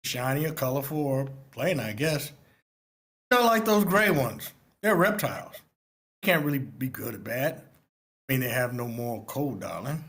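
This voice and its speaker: A man playing a doting, studious man of thirty-two who gently explains things to a child at a script table read. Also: medium pitch, animated, normal speed. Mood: fond, reasoning.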